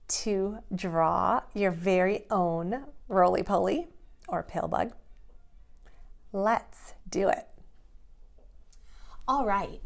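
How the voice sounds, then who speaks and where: A woman's voice: medium pitch, a little mellow. A woman preschool teacher speaking to young children in simple, guiding phrases, as in a read-aloud or lesson. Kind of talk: expressive picture-book read-aloud and children's drawing lesson.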